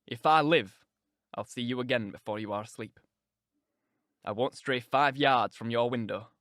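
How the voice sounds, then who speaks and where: A man's voice: medium pitch, moderate pace, fairly bright tone, no echo, clean studio sound, voice pushed down, close to an everyday voice. A man playing a brooding, passionate, tormented lover with an intense, earnest murmur in an audiobook drama. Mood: urgent.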